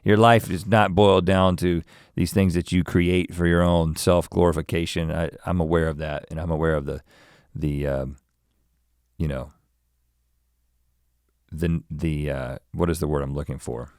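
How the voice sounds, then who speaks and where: A man in his forties musing aloud in a casual chat, reflective and halting as he searches for words. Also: low voice, level tone, a little mellow, no echo, studio quality. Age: 40s.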